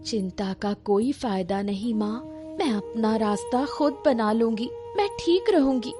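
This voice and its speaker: A woman playing a high-voiced, hurt young daughter. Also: animated, unhurried, music in the background. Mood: resolute, sad. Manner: emotional, reproachful, resolute. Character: hurt, determined grown daughter leaving her village home. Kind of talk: cartoon story dialogue.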